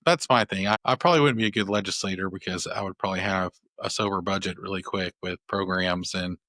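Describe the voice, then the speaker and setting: A man hosts a legal podcast, talking in a low voice with a bright, sharp edge. He rambles with restarts and fillers as he works through his ideas. The sound is dry and close. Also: fast talker, no echo. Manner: thoughtful, rambling with many restarts and "you know". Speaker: podcast host, family law attorney. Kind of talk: conversational interview.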